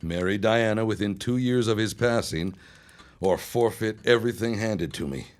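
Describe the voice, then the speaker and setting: Man playing a low-voiced, arch scheming son. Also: normal speed, close to an everyday voice. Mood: dry, controlled. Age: young adult. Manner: formal, arch, wry delivery. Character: smooth, scheming young man, dry toward his mother. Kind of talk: audio drama acting.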